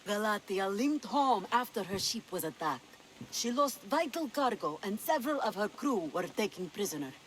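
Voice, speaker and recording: A woman's voice: medium pitch, very animated, moderate pace, slight background noise, natural voice, rough recording. A woman playing a sly, commanding pirate leader in a video game, with theatrical delivery. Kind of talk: video game cutscene acting.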